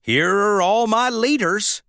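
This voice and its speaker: A man voicing a friendly, excitable cartoon tow truck with folksy country talk. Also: high voice, slow, no room sound, studio quality, voice pushed up, exaggerated voice.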